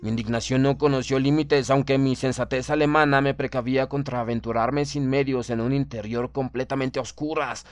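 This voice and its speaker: A man reading a literary horror tale as an audiobook narrator, in a measured, formal and solemn dramatic delivery. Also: mid-range voice, fairly even intonation, no echo, faint music behind.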